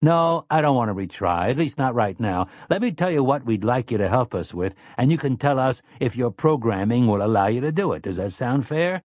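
A man's voice: medium pitch, animated, brisk, few pauses, a little mellow, no room sound, clean studio sound, natural voice. A man voicing a wily, cheerful grown man who talks casually and conspiratorially in an audiobook. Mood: sly, friendly.

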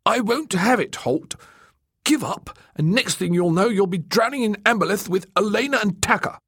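A small, plump mapmaker of a man, pitched far up into a squawky, flustered register. He is fussy, eager and stubbornly hopeful, a storybook character heard close and dry. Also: lively intonation, moderate pace, fairly bright tone, slightly rough, dry recording, heavily acted. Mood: stubborn, insistent. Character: small fat fussy excitable male mapmaker, stubbornly optimistic. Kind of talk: audiobook character dialogue.

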